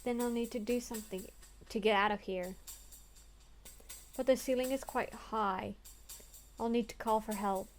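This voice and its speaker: A woman's voice: on the high side, fairly even intonation, normal speed, pauses often, faint music behind. A young woman playing through a story game, reading the text aloud earnestly and breaking off into crude, swearing jokes.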